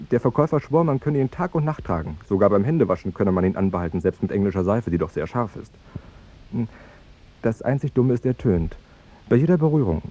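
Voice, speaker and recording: Actor as a cheerful, chatty young fiancé, lively swoops. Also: male voice, mid-range voice, natural voice. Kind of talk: 1950s German radio drama acting. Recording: dull 1950s radio recording, music behind.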